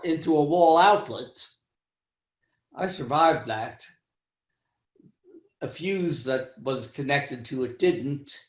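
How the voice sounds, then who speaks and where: An older man with a high-pitched voice tells a wry, self-deprecating story about his own life in a club speech over a video call. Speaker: club speaker.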